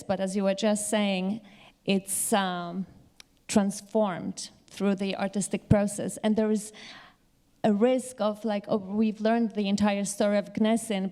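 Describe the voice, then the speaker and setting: In a reverberant hall, a woman academic speaks at a medium pitch. She talks without a script on a panel, picking her words carefully and haltingly. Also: dark tone, clear, resonant tone. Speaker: university teacher on a panel. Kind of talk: unscripted academic discussion.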